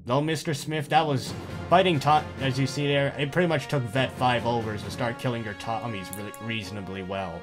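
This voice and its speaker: A man's voice: mid-range voice, steady tone, fairly quick, music in the background. A man with a Canadian accent, casually co-commentating a strategy game match and chuckling often. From Northern Canada. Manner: laid-back, chuckles often.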